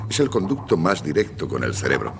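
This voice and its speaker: An actor playing a formal, instructive scientist leading an expedition in a suspense radio drama. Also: male voice, a bass voice, big pitch swings, fairly quick, creaky, background noise, full character voice. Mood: grave. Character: Dr. Crawford, grave male expedition leader, a scientist.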